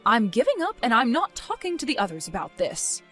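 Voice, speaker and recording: A woman's voice: a little high, wildly swooping pitch, a little fast, a bit bright, husky, faint music behind, full character voice. A woman voicing a stern, disappointed mother nearing retirement age in a dramatized story, scolding with weary firmness. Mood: weary, resigned.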